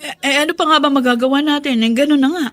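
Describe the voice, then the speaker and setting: A woman's voice: high voice, fast, fairly bright tone. A woman playing a caring, exasperated grown daughter in a radio drama, with a fretful, pleading manner. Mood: resigned. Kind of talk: Filipino radio drama acting.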